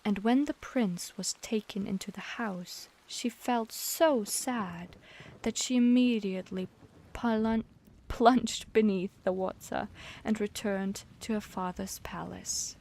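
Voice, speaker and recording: A woman with a British-leaning accent learned as a second language, reading a fairy tale aloud in a soft, half-whispered storybook manner. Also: mid-range voice, slow pace. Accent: British-leaning English learned as a second language. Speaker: streamer reading stories aloud. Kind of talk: slow bedtime storybook reading.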